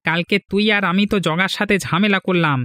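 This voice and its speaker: A man's voice: sits high, steady tone, fairly quick, pushed and bright, no room sound, clean studio sound, soft background music. A man voicing a cautious village fisherman who earnestly warns his friend in a cartoon. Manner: earnest, warning. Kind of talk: cartoon voice acting.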